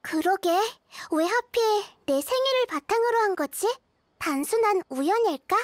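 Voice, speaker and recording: Very high, wise little child goddess. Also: female voice, expressive, no echo, studio quality. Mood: calm, pensive. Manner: measured, thoughtful, explanatory. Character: small, wise child deity, calm and gently grave. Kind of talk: scripted game character dialogue.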